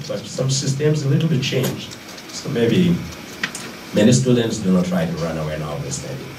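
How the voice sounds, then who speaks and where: A man with an Indian accent and Manipuri as his first language gives an informal talk, haltingly searching for words and repeating phrases. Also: mid-range voice, darkish tone. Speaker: guest lecturer. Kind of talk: informal public talk with audience questions. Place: indoor lecture room. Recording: echoey room, distant mic, faint noise.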